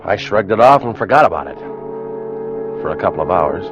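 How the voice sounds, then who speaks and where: A man's voice: deep voice, sing-song, moderate pace. An actor playing a plain-spoken, uneasy ship's officer who tells his tale in an old-time radio drama. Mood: brooding narration. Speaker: narrator and lead character. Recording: muffled vintage radio broadcast, music.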